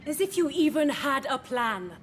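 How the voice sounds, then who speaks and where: An actress playing a haughty, hot-tempered marshal in a fantasy video game, imperious and indignant. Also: female voice, high-pitched, expressive, moderate pace, slight background noise. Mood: contemptuous fury. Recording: long hall reverb, faint music.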